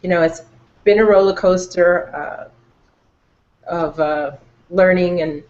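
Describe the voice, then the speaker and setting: A woman's voice: lowish voice, slow, darkish tone. A woman from New York introducing herself as a panel guest on a video call, upbeat. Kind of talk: casual video call talk. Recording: dull lo-fi call audio.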